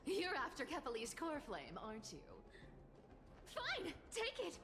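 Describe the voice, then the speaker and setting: A woman's voice: high voice, huge pitch swings, a little slow, background noise, exaggerated voice. A woman voicing a playful, cocky cat thief in a video game, theatrically teasing with whispers and giggles. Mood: panicked pleading. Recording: loud game music behind. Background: game music.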